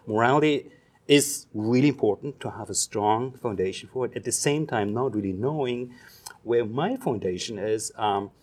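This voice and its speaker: A man in his fifties from Germany, speaking English with a German accent as a psychology professor answering interview questions thoughtfully, with frequent pauses and fillers. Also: medium pitch, darkish tone. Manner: reflective, pausing with many ums and uhs.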